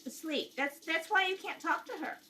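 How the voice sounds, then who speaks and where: Woman playing a high-voiced, scared, defensive young girl. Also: moderate pace, bright, forceful tone, distant mic, a little hiss or hum. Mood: defensive, pleading. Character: frightened, defensive young girl guarding her home. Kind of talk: amateur radio drama read-through.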